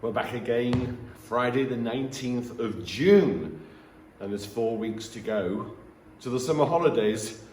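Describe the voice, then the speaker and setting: A man with a slightly croaky voice, a primary school teacher leading an assembly in a chatty, gently jokey way. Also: medium pitch, measured pace, echoey room, a little hiss or hum, rough recording. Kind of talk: friendly assembly address to pupils and families.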